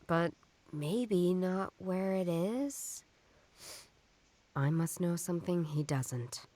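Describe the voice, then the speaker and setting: A stubborn woman detective in a noir video game, with a slightly low voice. She muses in a wry, doubtful way, as if thinking through a case alone. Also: animated, slow, dark tone, no room sound. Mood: puzzled, wry. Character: stubborn, skeptical woman detective, puzzling things out. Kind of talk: noir detective game voice acting, inner monologue.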